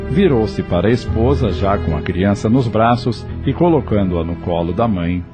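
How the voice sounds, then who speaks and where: A man's voice: on the low side, animated, moderate pace, music in the background. A man narrating an audio novel in a dramatic, scripted storytelling style.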